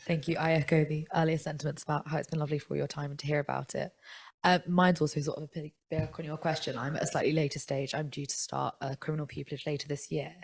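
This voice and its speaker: A young woman in the audience puts a polite question to the panel, talking quickly in a very low, gravelly, husky voice. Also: level tone. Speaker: audience member asking a question.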